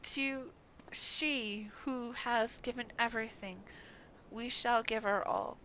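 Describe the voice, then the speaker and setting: Woman reading a solemn, unhurried devoted son. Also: on the high side, sharp tone, slight background noise, low-quality recording. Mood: resolute. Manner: formal, solemn, resolute.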